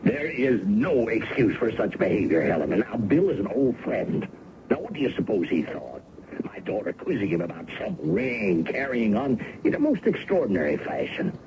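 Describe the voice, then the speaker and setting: Blustery, fond middle-aged father, deep and creaky, folksy old radio acting, dull recording with music. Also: huge pitch swings, normal speed, background noise, exaggerated voice. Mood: exasperated.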